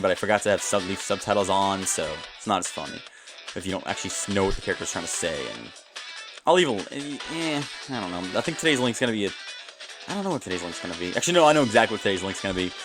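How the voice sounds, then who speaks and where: Male gameplay host, mid-range voice, loose rambling commentary full of tangents, music playing behind him. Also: medium pitch. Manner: rambling, digressive, jumps between asides. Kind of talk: casual off-the-cuff gameplay commentary. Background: game music.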